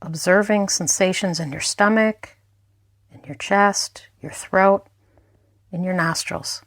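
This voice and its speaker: A woman's voice: mid-range voice, no echo. Woman guiding a meditation, dry close sound. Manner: gentle, unhurried guiding with pauses. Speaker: mindfulness instructor. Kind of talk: guided meditation narration.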